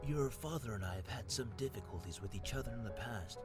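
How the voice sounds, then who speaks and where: Brief: woman playing a kind old grandpa, lively swooping lines, wise and gentle. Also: a bass voice, a little fast, soft background music, pitched below the performer's own voice. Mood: earnest. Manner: soft reassuring storytelling delivery.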